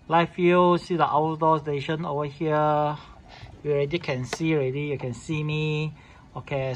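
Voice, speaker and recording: A man walks through a device setup in an unscripted way. His voice sits a little high, and his phrasing is clipped and repetitive. Light noise runs behind the recording. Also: fairly bright tone. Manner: repetitive clipped phrasing in non-standard English. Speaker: tutorial host showing a device setup. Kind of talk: informal unscripted step-by-step product demonstration. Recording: clearly noisy room recording.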